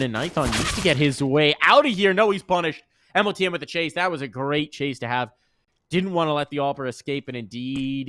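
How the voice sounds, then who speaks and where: Excitable male esports play-by-play caster. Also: noisy background. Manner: excitable, jokey, pitch jumps high in big plays. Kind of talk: play-by-play commentary.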